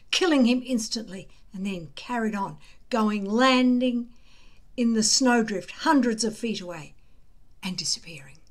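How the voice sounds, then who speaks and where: A woman reads a children's adventure aloud in a higher-than-average voice. Her delivery is slow and deliberate, with expressive, dramatic emphasis on the words. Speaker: storybook reader.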